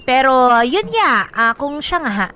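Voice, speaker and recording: A woman's voice: high voice, sing-song, unhurried, bright, forceful tone. A woman with a Filipino accent co-hosting on radio, bantering in a casual, teasing way and dropping in English phrases. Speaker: radio DJ co-host. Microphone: studio radio microphone.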